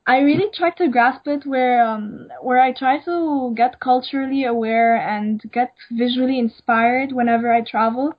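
Young woman from egypt talking kinda unsure, lots of ums, slightly high voice, dull lo-fi audio. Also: normal speed, hardly any pauses. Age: young adult. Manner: hesitant, many ums and likes. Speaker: interview guest, emerging designer. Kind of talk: casual interview. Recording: dull lo-fi sound.

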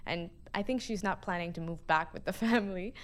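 A woman's voice: on the low side, a little fast. A young woman from Pakistan, a neuroscience student, talking casually in an interview and often saying "like". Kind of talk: conversational interview.

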